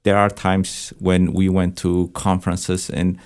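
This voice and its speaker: The low-voiced man at a church panel, a therapist thinking his way aloud through an answer. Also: completely flat delivery, measured pace, darkish tone, clean tone. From Ethiopia. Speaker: church counseling director and therapist, panel guest. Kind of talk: reflective conversation.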